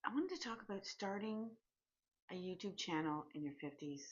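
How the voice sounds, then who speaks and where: A Canadian woman in her sixties talks to camera in a candid, chatty vlog, stopping and restarting now and then. Her voice sits a little low and sounds husky and breathy. The recording is dull and lo-fi. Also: animated, moderate pace. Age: 60s. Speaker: vlogger. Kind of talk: casual personal vlog.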